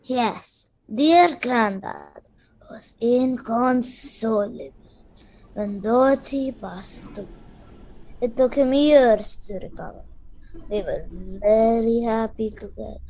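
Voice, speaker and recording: Woman playing a slow-spoken, solemn count. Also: fairly high pitch, dark tone, low-quality recording. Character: solemn count, male head of a spooky household. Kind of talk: amateur radio play acting.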